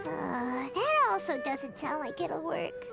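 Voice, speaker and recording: A woman's voice: sits high, very animated, takes it slowly, gravelly, heavily acted. A woman voicing a small, chirpy female fairy companion who is eager and encouraging, for a game. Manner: eager, encouraging, talks of herself by name. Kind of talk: game voice acting. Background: loud game music.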